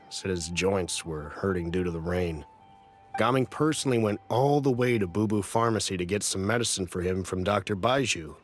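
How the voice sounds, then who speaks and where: A man voicing a kindly, courteous older uncle in a video game, speaking in a polite, avuncular, measured way. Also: sits low, lightly acted. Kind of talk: animated video game voice acting.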